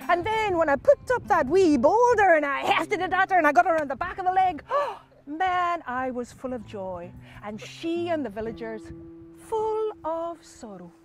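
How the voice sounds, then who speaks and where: Woman doing a smug scottish village husband, angry type, insistent and cheeky, high voice, live storytelling. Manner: insistent, gleefully literal.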